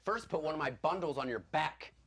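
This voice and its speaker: A woman's voice: low-pitched, brisk. A woman acting a pompous, bullying villain who lords it over a troupe of actors in a stage play. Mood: haughty. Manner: pompous, domineering. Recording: stage recording with audience noise.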